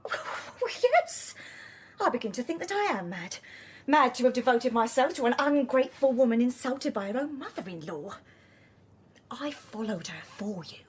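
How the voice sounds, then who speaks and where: Shrill, husky, sanctimonious British landlady. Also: very animated, normal speed. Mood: aggrieved. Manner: prim, theatrical, falsely sympathetic. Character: sanctimonious, prying, self-pitying Victorian landlady. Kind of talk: radio drama acting.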